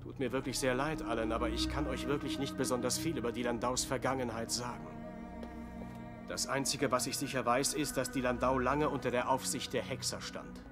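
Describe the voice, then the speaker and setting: A man's voice: mid-range voice, steady tone, fairly quick, natural voice. An actor playing a grave, regretful man in an audio drama. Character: grave, regretful man explaining dark secrets. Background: loud music.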